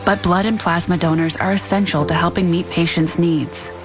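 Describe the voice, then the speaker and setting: A woman reads a scripted charity appeal. Her voice is slightly low and sits over a loud music bed, as in a produced radio spot. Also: fairly even intonation, normal speed. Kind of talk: scripted public service ad.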